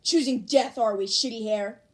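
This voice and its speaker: A woman voicing a hot-tempered, foul-mouthed teenage boy hero student in a gruff, combative manner for a fan fiction reading. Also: a little high, normal speed, natural voice. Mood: furious.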